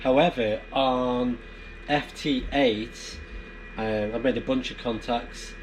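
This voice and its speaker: A man's voice: medium pitch, steady tone, slow. Male vlogger, casual and rambling, mid-range voice, noisy barn recording. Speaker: amateur radio vlogger. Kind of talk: casual explanatory vlog chat. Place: inside a barn. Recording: clear background noise in barn.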